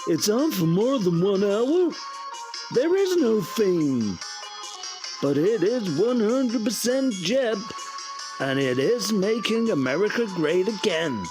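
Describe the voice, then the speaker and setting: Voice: mid-range voice, very animated, takes it slowly. An actor voicing a bombastic, self-promoting announcer in an absurd comedy radio promo. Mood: brash, boastful. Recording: music bed behind the voice.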